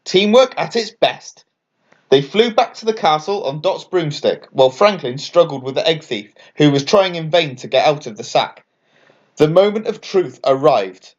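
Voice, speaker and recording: A man reading a children's bedtime story aloud as an expressive scripted narration. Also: on the high side, normal speed, lo-fi sound. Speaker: author and story reader.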